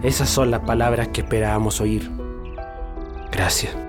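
A man with a Chilean accent playing a grateful, grieving man in a radio drama, speaking earnestly and deliberately. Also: on the low side, fairly even intonation, music in the background, natural voice. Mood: grateful, grave.

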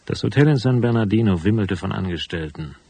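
A hard-boiled detective in a noir radio play. His voice sits low and is husky with fry. He speaks drily and sparingly, always probing. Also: animated, brisk, darkish tone, heavily acted. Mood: dry, reflective. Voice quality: gravelly, husky. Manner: dry, laconic. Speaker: first-person narrator and lead character. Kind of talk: noir radio drama acting and narration.

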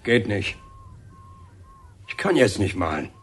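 Gruff, low-voiced evasive painter. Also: male voice, sing-song, slow, full character voice. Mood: evasive, irritable. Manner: terse, muttering, hesitant interjections. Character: gruff, evasive male painter, kindly but stubborn. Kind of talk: radio drama acting. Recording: background noise, scene ambience. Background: ambient noise.

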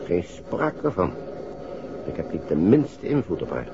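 A man's voice: low-pitched, very animated, unhurried, heavily acted. An actor playing a busy, weary businessman who grumbles bluntly and colloquially in a 1960s Dutch radio drama. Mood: resigned, worried. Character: busy, weary businessman fretting over a family quarrel. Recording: dull, noisy old radio recording.

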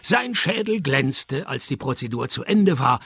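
An actor playing a wry, sardonic private detective in a radio play, with a dry, deadpan, mocking delivery. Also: male voice, medium pitch, a little slow, slightly rough, dry recording, heavily acted. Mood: wry narrating. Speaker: lead actor and narrator. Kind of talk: radio detective play, scripted narration and dialogue.

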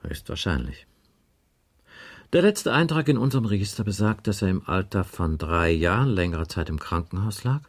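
Gravelly, world-weary police inspector. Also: male voice, low voice, very animated, normal speed, exaggerated voice. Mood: matter-of-fact. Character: world-weary, blunt police inspector explaining a murder case. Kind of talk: 1980s German radio crime drama acting.

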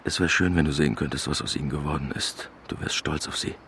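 Low gravelly middle-aged dad, stubborn and tired, radio drama. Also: male voice, quick pace, bright, forceful tone, a little hiss or hum, heavily acted. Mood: subdued, confiding. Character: stubborn, weary working-class widowed father. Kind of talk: naturalistic German radio drama acting.

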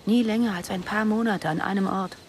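A woman's voice: mid-range voice, fairly even intonation, a little fast, slight background noise, natural voice. An actress in a German radio drama playing an anxious, regretful woman on the run, speaking with urgent reproach. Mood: anxious, reproachful.

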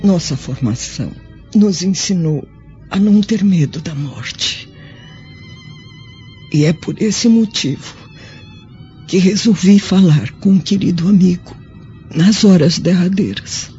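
Slow, dark-voiced dying Roman noblewoman. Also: fairly low pitch. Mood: grave, remorseful. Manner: solemn, halting, formal. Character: dying, remorseful, humble older Roman noblewoman. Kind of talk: radio drama acting. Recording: dull lo-fi audio with music behind.

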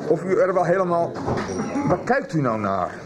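An actor in a radio soap playing a stern, pompous schoolteacher who admonishes formally. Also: male voice, on the high side, sing-song, moderate pace, over a noisy crowd. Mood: stern, scolding.

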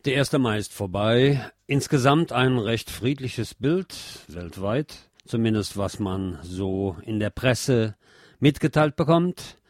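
A man hosting a radio show, presenting commentary and reading news aloud in a deliberate way with pauses. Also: mid-range voice, animated, a little mellow, dry recording, studio quality.

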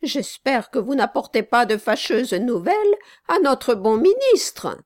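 A woman reading aloud, voicing a gruff, trembling old male gravedigger. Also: a little high, big pitch swings, unhurried, pitched above the performer's own voice. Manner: gruff then gentle, trembling. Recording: dry close audiobook recording.

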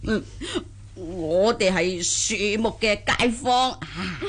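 A woman playing a simple, good-humoured old country granny in a radio drama, with a husky aged voice, folksy exclamations and hesitant musing. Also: medium pitch, takes it slowly. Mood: musing, boastful. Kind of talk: Cantonese radio drama acting.

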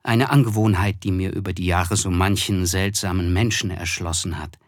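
An actor playing a reflective, principled man who narrates a literary radio drama in a measured, thoughtful way. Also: low voice, no room sound, clean studio sound, natural voice. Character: Nick Carraway, reflective, reserved, principled male narrator.

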